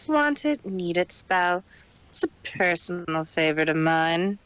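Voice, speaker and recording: A man's voice: high voice, sing-song, measured pace, fairly bright tone, clear, resonant tone, no room sound, voice pushed up, full character voice. A man voicing a cold, scheming female unicorn pony villain who talks in a detached, condescending way.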